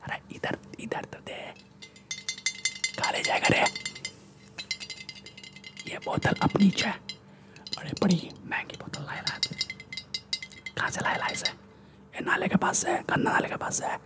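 A young man playing a swaggering, bullying Mumbai gangster in a breathy ASMR whisper, with slangy, boastful Mumbai Hindi speech, close to the microphone. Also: high-pitched, a little slow, pauses often. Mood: greedy, boastful. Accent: Mumbai Hindi street slang. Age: young adult. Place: small room indoors. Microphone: close desktop condenser microphone.

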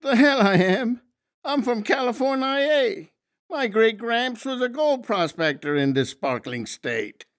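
An actor playing a young, wry highway cop in an audiobook, gravelly and colloquial. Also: male voice, very animated, a little slow, fairly bright tone, no echo, studio quality, full character voice. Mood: brash. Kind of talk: dramatized audiobook dialogue.

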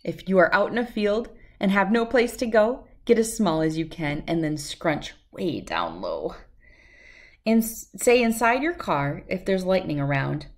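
A middle-aged woman reads a rhyming children's picture book aloud in a measured, sing-song way. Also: on the low side, lively intonation.

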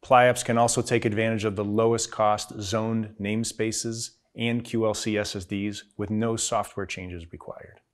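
A man's voice: fairly low pitch, flat delivery, measured pace, hardly any pauses. A middle-aged man presenting a scripted keynote with a polished, promotional delivery. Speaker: company executive presenting a keynote.

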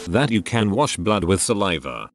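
A man narrating scripted readings of online forum posts in a measured, word-by-word manner. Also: sits low, very animated, faint music behind.

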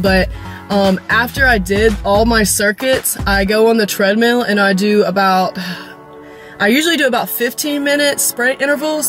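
Young American woman chatting over music. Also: medium pitch. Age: 20s. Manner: chatty, informal, slangy. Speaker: fitness vlogger. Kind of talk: casual vlog chat explaining workouts. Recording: loud music behind the voice.

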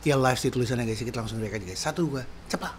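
Excitable man reacting to music. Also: medium pitch, very fast, slight background noise. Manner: excitable, frequent slang exclamations. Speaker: sound engineer reacting to music. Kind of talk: casual reaction commentary. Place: small dim room at home. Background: faint music playing.

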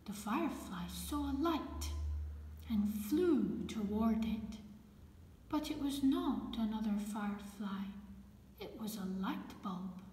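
Slow female picture-book reader, echoey room. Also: medium pitch, lively intonation, dark tone. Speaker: storyteller. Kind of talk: reading a children's picture book aloud. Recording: echoey room with background noise.

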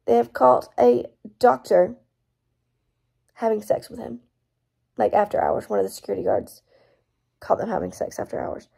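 A young woman, hoarse from losing her voice, chatting casually and emphatically about a book she is reading, recorded on her phone at home. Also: medium pitch, big pitch swings, moderate pace, long pauses, dark tone. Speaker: book vlogger. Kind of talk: casual book review vlog. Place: small room at home, indoors. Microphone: phone front camera at arm's length.

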